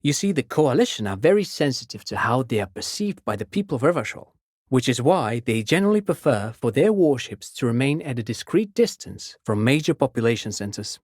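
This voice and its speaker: Lively, erudite polite know-it-all. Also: male voice, medium pitch, fairly quick, no echo, studio quality. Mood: fascinated, explanatory. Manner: erudite, precise, courteous, fussily explanatory. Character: chatty, polite amateur-academic man, eager know-it-all enthusiast. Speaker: video game voice actor. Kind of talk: game character dialogue.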